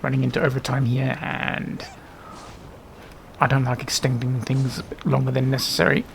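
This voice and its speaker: Male game streamer, mid-pitched with vocal fry, casual running commentary, slightly noisy recording. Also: flat delivery, normal speed. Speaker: gaming video host.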